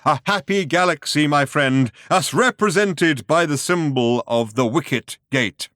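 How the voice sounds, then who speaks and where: A man voicing a bombastic showman orator from a galactic spectacle in a science fiction audiobook, grandiose, drawn-out and sentimental, with a thunderous delivery. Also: a little high, fairly bright tone, no echo, clean studio sound, pitched above the performer's own voice. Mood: stirring, sentimental.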